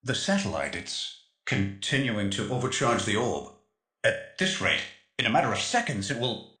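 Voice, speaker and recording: Animated, earnest rebel tech expert. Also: male voice, fairly low pitch, normal speed, roomy sound, faint music behind. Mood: alarmed. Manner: precise, urgent, clipped tactical instructions. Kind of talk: dramatic game mission dialogue.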